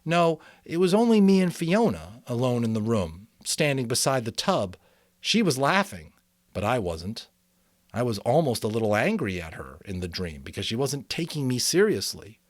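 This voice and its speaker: A man's voice: mid-range voice, big pitch swings, moderate pace, no room sound. A man reads as an earnest young boy in a storybook. He explains himself carefully and with some hesitation, as if reluctant to tell it all. The sound is close and dry. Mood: uneasy.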